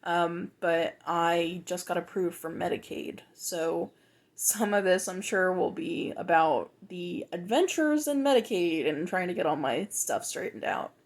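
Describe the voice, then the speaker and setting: A young woman, twenty-five, talks through a personal video journal. Her voice sits a little low, and she pauses often and restarts her sentences as she thinks aloud. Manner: reflective, hesitant, many ums and restarts.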